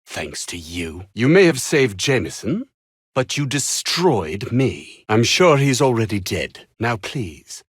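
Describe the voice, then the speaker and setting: Haughty anxious scientist, video game character, wildly varying intonation, dry close sound, husky, formal and theatrical, self-pitying. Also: male voice, mid-range voice, big pitch swings, takes it slowly, no echo, heavily acted. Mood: bitter, guilty.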